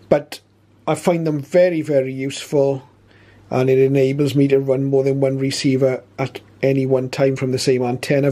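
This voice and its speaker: A man giving a casual, explanatory talk as a hobby channel host, measured and matter-of-fact. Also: mid-range voice, mellow. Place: small indoor home radio room.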